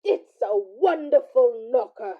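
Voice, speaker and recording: A woman's voice: on the high side, expressive, takes it slowly, muffled recording. A woman playing an overjoyed, eager old reformed miser in a radio play, effusive and theatrical. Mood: delighted, eager. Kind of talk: stage-style radio play acting.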